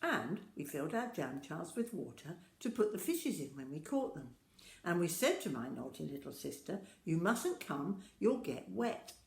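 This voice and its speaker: Older lady reading kids storybook, really animated up and down voice, echoey room. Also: sits low, vocal fry. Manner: addresses young listeners directly, deliberate and expressive. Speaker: storyteller reading aloud to children. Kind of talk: children's storybook narration. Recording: roomy, echoing home-style recording.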